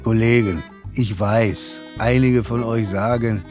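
An actor in a German radio crime drama playing a dogged police inspector, gruff, colloquial and terse in manner. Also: male voice, fairly low pitch, steady tone, slow, a little mellow, soft background music. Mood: resolute. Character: dogged, stubborn male police inspector leading a team.

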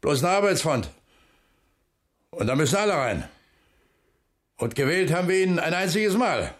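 A man's voice: a little high, big pitch swings, normal speed, fairly bright tone. An actor playing a taciturn, dutiful middle-aged foreman and husband in a radio drama, terse and plain-spoken. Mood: defensive.

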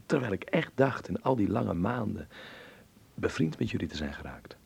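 Een acteur speelt een verlegen, dromerige, welgemanierde jonge Nederlander in een hoorspel, aarzelend en terughoudend. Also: male voice, lowish voice, very animated, normal speed, gravelly, darkish tone, breathy edge, exaggerated voice. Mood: hurt, subdued. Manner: hesitant, reserved. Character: shy, dreamy, well-mannered upper-class young Dutchman. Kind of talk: radio drama acting.

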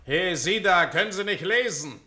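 An actor playing a gruff, bossy gatekeeper with grim humour and a curt, officious manner in a radio play. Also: male voice, high-pitched, lively intonation, moderate pace, bright, forceful tone, full character voice. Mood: barking, irritated. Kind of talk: German radio play acting.

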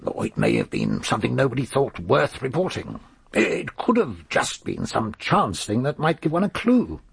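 An actor in a British radio drama playing a genial, shrewd police sergeant, husky and gravelly, with an unhurried, folksy way of probing. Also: male voice, medium pitch, wildly swooping pitch, no room sound, heavily acted. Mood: gently probing. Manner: unhurried, folksy, gently persistent questioning.

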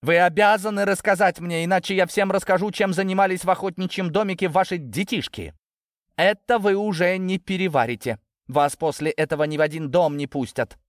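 A man's voice: on the low side, big pitch swings, normal speed, dry recording, studio quality. A man voicing a defiant young adult daughter, hurt and bitter, with an emotional, biting delivery in an audiobook. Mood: cold threatening.

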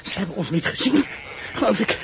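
In this radio play, a frightened young prisoner of war who pleads anxiously with his companion. His voice is pitched very high. Clear outdoor noise runs beneath the scene. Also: lively intonation, brisk. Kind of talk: radio drama acting.

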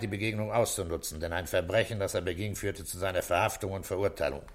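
An actor playing a composed, authoritative police commissioner in a 1960s radio play, speaking deliberately and with command. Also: male voice, fairly low pitch.

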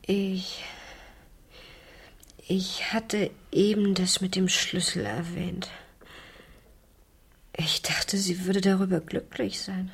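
An injured teenage girl in a radio mystery. Her voice is very breathy and whispery, moving slowly and haltingly. She sounds weak yet earnest and eager. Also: mid-range voice, flat delivery, full character voice. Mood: timid, unsure. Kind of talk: German radio crime drama acting.

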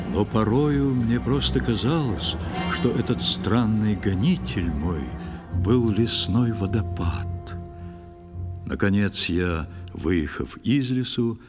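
A man narrating a verse tale in an audio play, with theatrical, expressive recitation. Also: medium pitch. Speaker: actor-narrator. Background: music.

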